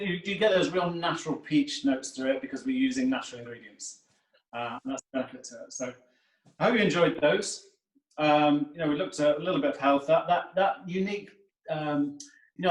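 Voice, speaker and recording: Echoey, distant man pitching on a call. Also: a little fast. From Northern Ireland. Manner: chatty, hesitant, uh and um fillers. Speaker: drinks company co-founder. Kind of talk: casual product pitch on a video call. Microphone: video call.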